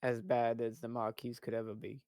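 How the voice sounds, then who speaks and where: Deadpan player at a tabletop game. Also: medium pitch, fairly quick, no echo, studio quality. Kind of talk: casual tabletop play.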